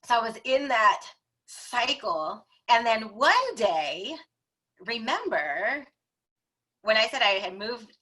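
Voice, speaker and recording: Middle-aged female guest, bright tense tone, expressive storyteller who acts out her reactions. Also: fairly high pitch, takes it slowly, husky. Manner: expressive, chatty, dramatic retellings of her own reactions. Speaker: podcast guest. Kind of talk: casual personal storytelling.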